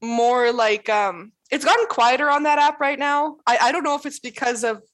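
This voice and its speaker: Woman, fairly high voice, chatty, lots of "like", frequent laughs. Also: normal speed, fairly bright tone. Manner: chatty, fills speech with "like"; laughs often. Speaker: interviewee, new employee. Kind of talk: casual conversation.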